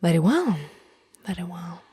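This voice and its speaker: A lovestruck female vampire acted by a woman whispers in a low, mellow, breathy voice. She speaks slowly and formally, with old-fashioned romantic courtesy, both predatory and apologetic, as in an intimate ASMR roleplay. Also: sing-song, dry recording, clean studio sound, full character voice. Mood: pleading, devoted.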